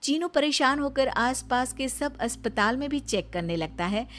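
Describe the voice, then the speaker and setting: A woman narrating a cartoon story in an even storytelling manner. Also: high voice, normal speed, a little hiss or hum. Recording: faint music behind.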